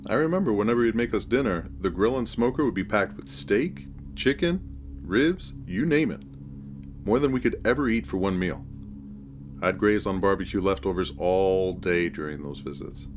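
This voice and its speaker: A man narrating a scripted first-person horror story in a measured, deliberate storytelling manner. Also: on the low side, animated.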